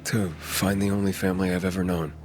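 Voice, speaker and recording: An actor playing a calm young wandering pilgrim in a video game, talking in a casual, guarded, matter-of-fact way. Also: male voice, low-pitched, fast, soft background music, close to an everyday voice. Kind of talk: naturalistic video game dialogue.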